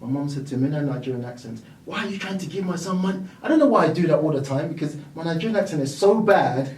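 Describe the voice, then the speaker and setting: Brief: British male property mentor, lively storyteller who acts out voices, higher voice, echoey room picked up from a distance. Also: quick pace. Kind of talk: storytelling interview guest.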